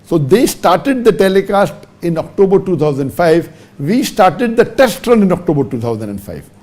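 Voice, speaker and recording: A man with a fairly high yet mellow, dark-toned voice answers in a lecture-style talk. He reels off numbers one after another and hits key words with sharp upward pitch jumps. English is not his mother tongue. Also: sing-song, normal speed. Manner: methodical, reels off figures and rankings one by one. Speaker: religious speaker answering a question.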